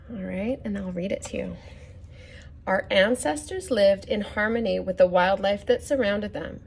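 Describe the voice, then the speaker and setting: A woman hosting a children's story time, reading a picture book aloud with gently instructive asides and questions to the listeners. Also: medium pitch, a little hiss or hum.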